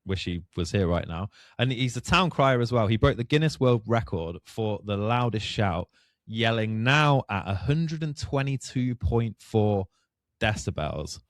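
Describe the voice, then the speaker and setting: A man's voice: on the low side, lively intonation, unhurried, dry recording. A man co-hosting a podcast, chatting casually and joking, often repeating words as he rambles. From immigrant to Australia. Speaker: podcast co-host. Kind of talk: casual banter.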